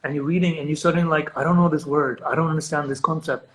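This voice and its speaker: A man's voice: a little high, fairly even intonation, quick pace, a bit bright. A man whose first language is Urdu, a guest in a remote interview, explaining with enthusiasm and frequent restarts. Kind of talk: conversational interview.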